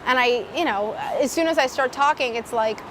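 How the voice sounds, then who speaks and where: A woman's voice: high voice, a little fast, fairly bright tone, slight background noise. A young woman with a small, nasal voice talks candidly and thoughtfully as a documentary interviewee. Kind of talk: reflective interview.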